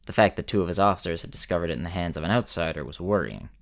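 Male narrator, very low pitch, scripted storytelling, measured delivery, dramatic pauses.

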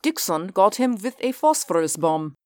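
A man's voice: high voice, big pitch swings, normal speed, a little mellow, no echo, clean studio sound, pitched above the performer's own voice. A man voicing an agitated, demanding security chief in an audiobook story. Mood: relieved, curt. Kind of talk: audiobook character dialogue.

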